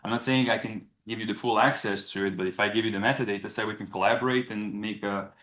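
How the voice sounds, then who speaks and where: A young man from Serbia, giving a conference presentation in a halting way, often restarting and repeating words. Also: on the low side, steady tone, a bit bright. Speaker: research presenter.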